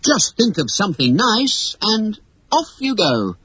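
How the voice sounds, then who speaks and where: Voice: medium pitch, very animated, measured pace, a bit bright, husky, no room sound, pitched above the performer's own voice, full character voice. A storybook narrator voicing a cocky, mischievous boy adventurer with a brash, bossy, confident manner. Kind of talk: acted storybook dialogue.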